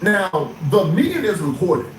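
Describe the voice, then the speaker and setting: A man recapping a news story in a steady, explanatory way, with some fillers. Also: sits high, measured pace. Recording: echoey room with faint noise.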